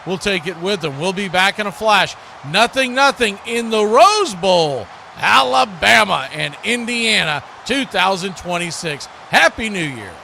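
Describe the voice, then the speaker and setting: A man's voice: high-pitched, big pitch swings, unhurried, few pauses, sharp tone. A man doing live play-by-play radio commentary of an American football game, describing the action in short phrases. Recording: clearly noisy live stream recording.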